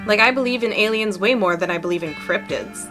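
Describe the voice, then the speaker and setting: A woman's voice: medium pitch, fairly quick, over music, natural voice. A woman playing a chatty, upbeat young cryptid hunter who makes videos, with an earnest, folksy way of talking in fan-dubbed game dialogue. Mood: excited, convinced.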